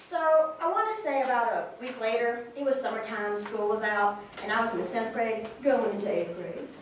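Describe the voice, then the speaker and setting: A woman playing a sister in her twenties, telling of her brother in an informal, animated staged monologue. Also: on the high side, a little fast, lightly acted. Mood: reflective, fond. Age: 20s. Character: twenty-eight-year-old sister fondly recalling her brother. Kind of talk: conversational monologue in a staged reading. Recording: very echoey room, distant mic, noisy.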